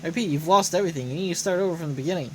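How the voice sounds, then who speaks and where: A woman voicing an unflappable digital assistant in an anime game, formal, brisk and deadpan. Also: fairly low pitch, slight background noise, lightly acted. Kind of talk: voiced reading of anime game dialogue. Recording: loud game music behind voice.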